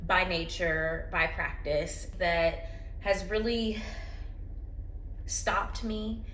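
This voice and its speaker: A woman's voice: lowish voice, very slow, a bit bright. A woman talking candidly into her phone at home in a personal vlog, earnest and reflective. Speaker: vlogger. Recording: roomy echo, faint background noise.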